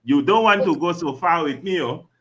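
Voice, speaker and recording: A man's voice: on the high side, normal speed, a bit bright, lo-fi sound, voice pushed down, natural voice. A man voicing an insistent, teasing speaker with a put-on Nigerian accent, ending lines with "oh".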